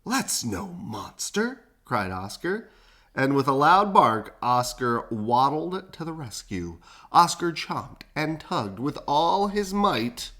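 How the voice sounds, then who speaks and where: A woman with a very deep voice reads a picture book to children. Her delivery is unhurried and swings widely in pitch, with dramatic pauses. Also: big pitch swings, fairly bright tone. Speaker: storybook reader. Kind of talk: animated children's read-aloud with casual chat.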